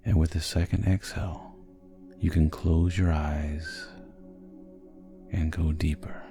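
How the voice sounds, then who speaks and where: Male sleep hypnosis narrator, very low and gravelly, soothing and measured, over faint music. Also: steady tone. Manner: soothing, gentle, measured delivery. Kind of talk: sleep hypnosis guided meditation, scripted narration.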